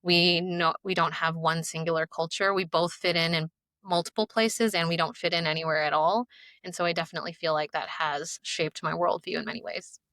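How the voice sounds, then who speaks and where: Woman, thirties, podcast guest, bright crisp edge, slightly low pitch, casual storytelling. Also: steady tone, brisk, no echo. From United States and China. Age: 30s. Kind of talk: conversational personal storytelling.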